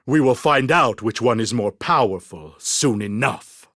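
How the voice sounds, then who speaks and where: A man's voice: mid-range voice, expressive, a little slow, fairly bright tone, no room sound, studio quality. A man voicing a pompous, scheming, exasperated mad scientist villain in a theatrical video game style.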